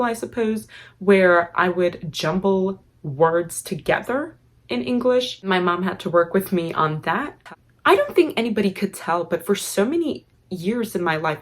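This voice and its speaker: A young woman with an Armenian accent telling a personal story in a casual vlog, choosing formal, elaborate words but often letting them tumble out impulsively, with the odd "like". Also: lowish voice. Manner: formal, elaborate diction with filler "like". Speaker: vlogger.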